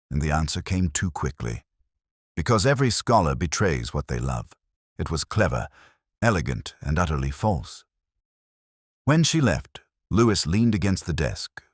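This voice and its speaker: A man with an extremely deep voice narrates a literary mystery in the first person. His delivery is measured and solemn, with a lively rise and fall in pitch. The sound is dry and close, free of room echo.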